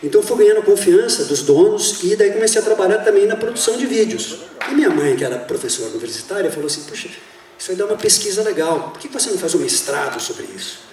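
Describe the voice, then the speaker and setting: A man in his fifties, a university professor and drummer, telling his story in a relaxed way on stage, with many fillers. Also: high-pitched, quick pace. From Brazil. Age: 50s. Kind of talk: talk on stage. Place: theater hall. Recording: echoey theater, speaker far from mic.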